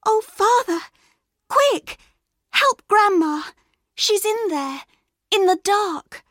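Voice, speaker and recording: Innocent little girl, put on by a woman, high voice, sing-song, wide-eyed. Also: animated, extremely slow, a bit bright, no room sound, clean studio sound, heavily acted. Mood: urgent.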